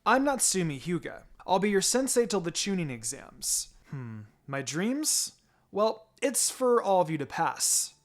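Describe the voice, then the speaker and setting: A man's voice: high-pitched, big pitch swings, unhurried, pitched above the performer's own voice. A man voicing a composed, instructive woman ninja teacher in a fan fiction reading. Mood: friendly. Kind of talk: fan fiction character dialogue. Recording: music behind the voice.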